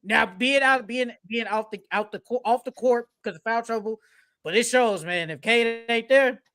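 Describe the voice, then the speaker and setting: Sports podcast co-host, medium pitch, casual debate, slangy, emphatic, opinionated. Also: moderate pace, a bit bright.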